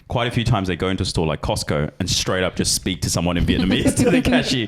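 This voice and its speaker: A man co-hosting a podcast, reading a forum post aloud in a brisk, straight-through way. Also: sits low. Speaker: podcast co-host.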